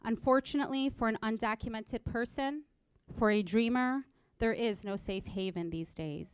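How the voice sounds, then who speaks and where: A nineteen-year-old woman from Egypt, raised in Illinois, reading a prepared personal story in an earnest, measured way at a college library event. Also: on the high side, smooth, dry recording. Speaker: student speaker.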